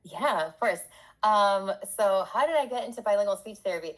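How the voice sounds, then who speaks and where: A woman's voice: medium pitch, expressive, normal speed. A bilingual Spanish and English speaking woman who grew up in Puerto Rico, answering conversationally as an interview guest, explaining things in a talkative, emphatic way. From born in the US, raised in Puerto Rico.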